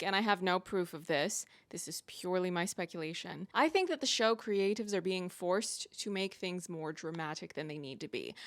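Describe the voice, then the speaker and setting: A woman giving conversational video commentary as a reviewer, putting deliberate emphasis on key words. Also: mid-range voice, fairly bright tone, dry recording, studio quality. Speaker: video essayist and reviewer on her own channel.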